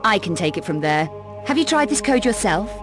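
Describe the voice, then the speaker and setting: Actress as cool female adventurer, dry, probing, expressive pitch, video game cut-scene, loud music underneath. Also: fairly quick, natural voice. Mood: brisk. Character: tough, cool, sardonic young female adventurer.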